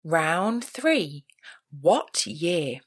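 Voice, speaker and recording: Slow, lively woman hosting a quiz. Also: on the low side, husky. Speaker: quiz host. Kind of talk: scripted pub quiz narration.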